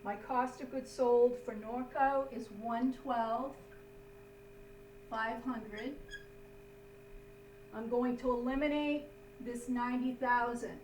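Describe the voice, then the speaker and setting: A woman's voice: on the high side, steady tone, slow, long pauses, a little mellow. A woman instructor giving a step-by-step classroom lesson in an instructive way, often checking in with short tags. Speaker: accounting instructor. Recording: roomy, distant mic, faint hum.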